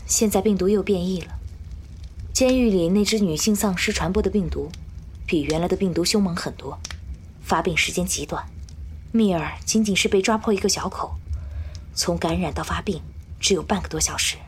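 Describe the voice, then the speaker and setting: An actress playing a tough, composed woman fighter in an audio drama, measured and commanding. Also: lowish voice, a little hiss or hum, close to an everyday voice. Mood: grave, explaining. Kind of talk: Chinese audio drama acting.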